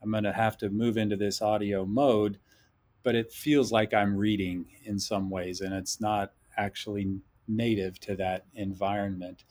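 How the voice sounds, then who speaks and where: A man speaks as a podcast guest in a thoughtful, measured way, often hedging as he reasons aloud. His voice sits a little low. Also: little pitch movement, darkish tone. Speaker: guest, instructor. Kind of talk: podcast interview talk.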